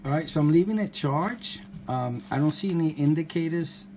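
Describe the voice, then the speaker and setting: A man's voice: on the high side, animated, normal speed, dark tone. A man giving casual unboxing commentary as a product reviewer, tentative and thinking aloud. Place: indoors, at a kitchen counter. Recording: clearly noisy home recording.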